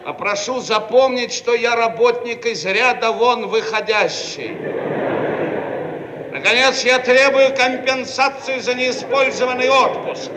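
A pompous Soviet bureaucrat in an old radio comedy, indignant and imperious. His voice is pitched very high and sounds distant in a big echoing hall. He declaims every demand with great self-importance. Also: measured pace. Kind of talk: theatrical radio play acting. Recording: echoey noisy archival radio-play recording, distant.

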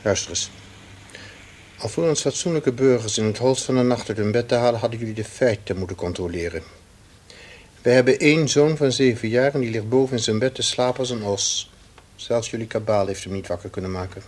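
A man's voice: medium pitch, brisk, darkish tone, close to an everyday voice. An actor playing an irritable, commanding middle-aged husband and father in a radio play. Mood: indignant. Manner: stern, indignant, commanding. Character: irritable, authoritative husband and father, woken at night. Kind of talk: Dutch radio play acting. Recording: noisy old radio play recording.

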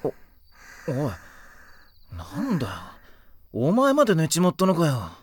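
A woman's voice: low-pitched, wildly swooping pitch, a little slow, slight background noise, heavily acted. A woman playing a kind, easily flustered teenage boy in an anime audio drama, with casual boyish speech. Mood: gentle, earnest.

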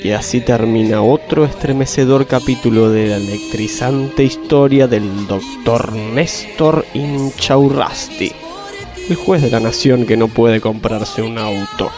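Man playing Argentine radio serial announcer, melodramatic, mid-pitched voice, music behind. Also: unhurried, natural voice. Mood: dramatic, rapid. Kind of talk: parody radio drama narration.

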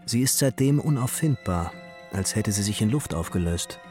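An actor playing an impassioned nineteenth-century newspaper chronicler in a radio play, declamatory and grandiose. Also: male voice, on the low side, animated, moderate pace, mellow, soft background music, lightly acted. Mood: urgent, grave. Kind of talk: dramatic period news report.

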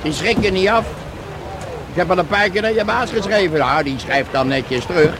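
An actor the playing a chatty, nosy, complaining market master in a radio drama. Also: male voice, high-pitched, lively intonation, moderate pace, noisy background. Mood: grousing. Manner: nosy, grousing.

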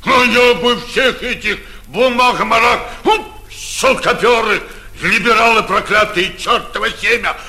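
An actor playing an outraged, humiliated old provincial mayor in a theatrical, exclamatory 1940s Russian stage style. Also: male voice, sits high, measured pace, bright, forceful tone, exaggerated voice. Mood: raging, bitter. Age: older. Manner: theatrical, exclamatory, self-berating. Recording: 1949 theatre radio production.

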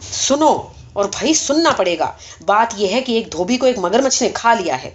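A man's voice: high-pitched, animated, muffled recording. A woman voicing an exasperated male petitioner in a story, insistently raising the voice and repeating words to be understood. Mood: shouting, exasperated.

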